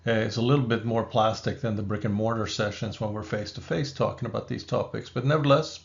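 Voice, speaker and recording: Gravelly man with a Swedish accent. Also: lowish voice, fairly even intonation, lo-fi sound. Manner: measured, explanatory, with occasional asides. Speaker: business school professor. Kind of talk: recorded online lecture over slides.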